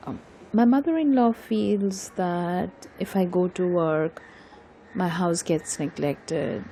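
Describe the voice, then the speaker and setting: A woman's voice: fairly low pitch, very animated, measured pace, dark tone. Woman acting, young married homemaker, Indian Bengali accent, earnest, plaintive, audio drama, slight background noise. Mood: resigned.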